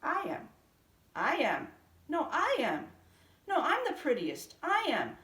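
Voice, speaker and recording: A squabbling chorus of storybook cats, high and mewing, as a woman reading aloud puts them on. The voices jump high and push loud. The echoey room and the distance from the mic carry through. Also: wildly swooping pitch, takes it slowly, exaggerated voice. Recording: roomy echo, from a distance.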